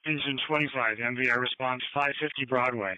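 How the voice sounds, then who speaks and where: Very bright-voiced male fire dispatcher. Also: mid-range voice, brisk, no room sound. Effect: fire radio transmission. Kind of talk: clipped radio dispatch. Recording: dull band-limited scanner radio audio.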